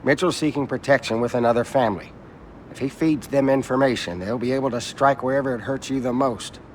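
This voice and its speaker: An actor playing an indignant, worried senator tangled up with mobsters in a game cutscene, agitated and sardonic. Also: male voice, mid-range voice, fairly quick, slight background noise, natural voice, low-quality recording. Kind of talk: video game cutscene acting.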